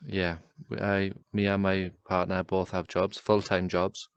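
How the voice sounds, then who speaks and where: Low-voiced teasing man. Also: little pitch movement, measured pace, smooth, dull audio. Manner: contrary, matter-of-fact, teasing. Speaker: podcast co-host. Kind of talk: casual chat.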